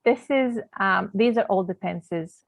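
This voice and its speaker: A woman's voice: mid-range voice, unhurried, gravelly, no echo. A woman who grew up in Canada, learned English as a second language, and teaches grammar in a patient, explanatory way with many fillers and restarts, speaking on a video call. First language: not English. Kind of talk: online grammar lesson. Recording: tinny phone-like call audio.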